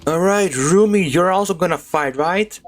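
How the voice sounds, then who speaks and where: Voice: mid-range voice, moderate pace, a little hiss or hum. A game streamer giving casual, playful and slangy commentary while playing. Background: game music.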